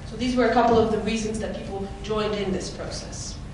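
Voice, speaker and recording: A woman from the Netherlands giving a conference presentation, explaining clearly with occasional fillers. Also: medium pitch, steady tone, fairly quick. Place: large conference hall. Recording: echoey hall, mic from far off, noisy.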